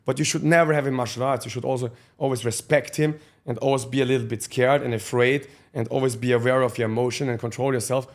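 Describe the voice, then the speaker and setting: A man's voice: mid-range voice, steady tone, fast, few pauses. A man. He is a podcast guest telling his life story candidly in blunt, profane English with loose grammar. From Austria. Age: 30s. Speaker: guest, fight coach.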